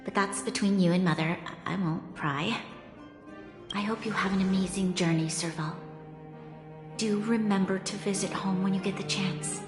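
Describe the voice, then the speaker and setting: A woman voicing a courteous young female ruler in a video game, speaking in a formal, gentle and considerate way. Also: mid-range voice, steady tone, moderate pace, lightly acted. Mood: gentle, tactful. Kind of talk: dramatic game dialogue. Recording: echoey large hall reverb, faint music.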